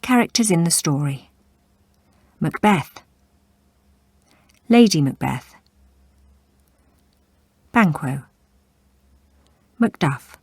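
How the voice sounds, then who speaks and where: A woman's voice: sits low, slightly rough, dry recording, clean studio sound. A woman reading a story aloud as a scripted read-along narrator.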